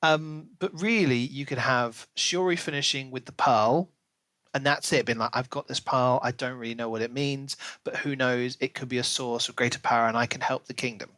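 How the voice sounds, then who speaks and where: A man's voice: medium pitch, fairly even intonation, moderate pace, pushed and bright, no echo. A man co-hosting a podcast, talking casually and emphatically, with firm opinions, in a book discussion. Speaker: podcast co-host reviewing books.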